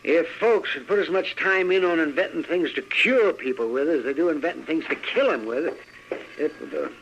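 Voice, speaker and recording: An actor playing a grumbling, crotchety older American town doctor in an old-time radio western. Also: male voice, fairly high pitch, lively intonation, moderate pace. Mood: sardonic. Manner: grumbling, querulous. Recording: noisy, dull vintage radio recording.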